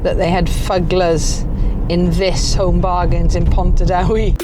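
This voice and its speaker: A woman's voice: on the low side, flat delivery, slow pace, over loud music. A woman, chatting casually and colloquially as she narrates her day for a vlog. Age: 50s. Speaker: vlogger.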